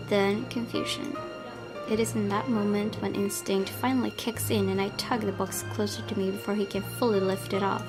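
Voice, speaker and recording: A woman reading a visual novel aloud as a let's play streamer, in expressive narration mixed with casual commentary. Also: medium pitch, normal speed. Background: game music.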